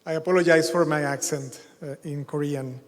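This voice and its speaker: A man's voice: fairly high pitch, steady tone. Middle-aged man lecturing in a hall. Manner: halting, many fillers and restarts. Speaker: keynote speaker. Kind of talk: conference keynote lecture. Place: large hotel conference hall. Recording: long hall reverb.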